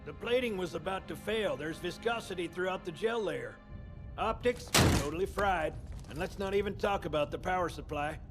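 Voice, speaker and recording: An actor playing a fussy, exasperated military armorer in a game, complaining briskly. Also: male voice, high-pitched, over music, natural voice.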